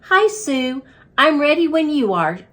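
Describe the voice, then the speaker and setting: Woman acting a friendly, animated lady teacher. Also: high-pitched, a little slow, voice pushed up, exaggerated voice.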